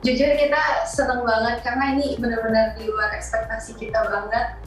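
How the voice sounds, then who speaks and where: A woman's voice: on the high side, flat delivery, brisk, faint music behind. A young woman from Jakarta in a girl group, answering interview questions casually and gushing a bit, with English words mixed in. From Jakarta, Indonesia. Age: young adult. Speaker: girl group member, guest. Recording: roomy sound, mic at a distance.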